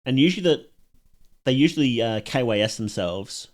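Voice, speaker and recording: A man's voice: medium pitch, animated, moderate pace. A man giving casual live-stream commentary as he reacts to videos at home, rambling and self-mocking and laughing at his own jokes.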